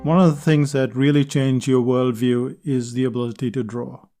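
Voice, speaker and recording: A man's voice: mid-range voice, flat delivery, moderate pace, music in the background. A man hosting a solo podcast, talking in an earnest, conversational way and repeating phrases for emphasis. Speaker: podcast host.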